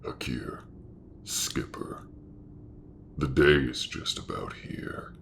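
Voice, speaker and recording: A man playing a calm, shrewd, commanding ship captain in a sci-fi audio roleplay, with a very gravelly voice and measured, businesslike delivery. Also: extremely low pitch, in a whisper, heavily acted.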